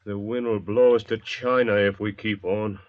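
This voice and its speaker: An actor playing a surly, suspicious convict thief in an old radio drama, blunt and grumbling. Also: male voice, fairly low pitch, steady tone, moderate pace, no room sound, lightly acted. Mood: worried, grumbling. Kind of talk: 1940s radio drama acting. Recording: dull vintage radio recording.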